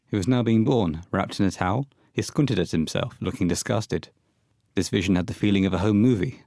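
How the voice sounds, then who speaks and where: A man narrating a scripted audio horror play in a measured, literary storytelling manner. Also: sits low, vocal fry, a little mellow, dry recording.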